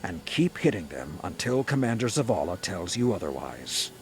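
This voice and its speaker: An actor playing a stern, blunt, war-hungry military commander in a video game, speaking gravely and emphatically. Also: male voice, low voice, very animated, moderate pace, slight background noise. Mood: resolute. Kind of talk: scripted video game dialogue. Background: music.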